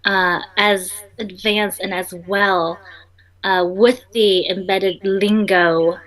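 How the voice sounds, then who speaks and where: A woman's voice: mid-range voice, fairly even intonation, slow, fairly bright tone, low-quality recording. A young woman entrepreneur, a native Vietnamese speaker, answering interview questions in a chatty way full of ums and restarts.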